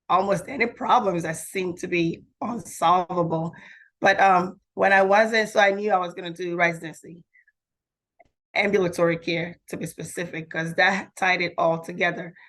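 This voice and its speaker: A woman's voice: on the low side, fairly bright tone. A woman answering interview questions as a podcast guest in a reflective, candid, conversational way. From Nigeria.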